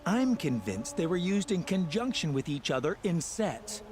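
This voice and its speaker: An actor playing a pompous, excitable scholar of ancient artifacts in a video game, with theatrical enthusiasm. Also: male voice, on the high side, normal speed, slight background noise. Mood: rapt. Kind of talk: video game character acting.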